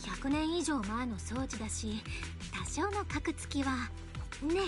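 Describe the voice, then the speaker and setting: A woman's voice: high voice, expressive, fairly quick. A woman voicing a light, breezy young heroine in a video game. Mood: calm, breezy. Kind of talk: Japanese game voice acting. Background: game music.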